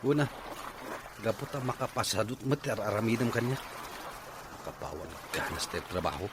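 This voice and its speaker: An actor with a Filipino Ilocano accent playing a sullen, work-shy, complaining husband in a radio drama. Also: male voice, fairly low pitch, lively intonation, a little fast. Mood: listless. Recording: noisy radio drama recording.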